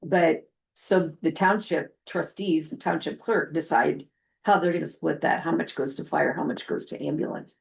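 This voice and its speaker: Board member, woman, very low and gravelly, matter-of-fact. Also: steady tone, normal speed, rough recording. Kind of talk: explaining procedure at a meeting.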